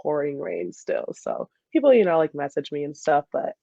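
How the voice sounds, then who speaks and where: A woman's voice: sits low, moderate pace, gravelly, no echo. Young American woman, slightly muffled audio. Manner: giggly, informal, animated retelling with quoted asides. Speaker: interview guest, former college soccer player. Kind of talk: casual conversational interview.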